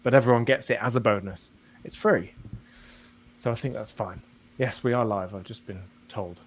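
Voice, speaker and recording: A man's voice: on the low side, normal speed, a little hiss or hum, rough recording. A man hosting a live podcast, joking and self-mocking as he runs the show. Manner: playful, self-mocking, talks to himself in two voices. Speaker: podcast host. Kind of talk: live podcast banter and intro.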